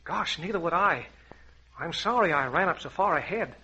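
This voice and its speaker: An actor playing an eager young safari sidekick, earnest and boyish, in vintage radio adventure style. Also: male voice, a little high, animated, normal speed, sharp tone, slightly rough, exaggerated voice. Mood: earnest, apologetic. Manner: earnest, boyish, gee-whiz. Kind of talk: 1940s radio adventure acting. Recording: dull lo-fi vintage radio recording.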